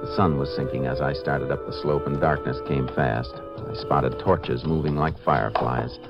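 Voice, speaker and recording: An actor playing a steady, terse Texas Ranger investigator in a 1950s western radio drama, speaking matter-of-factly. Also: male voice, rumbling low, normal speed, over music. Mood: measured narration. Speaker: lead actor and narrator. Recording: dull vintage 1950s radio recording.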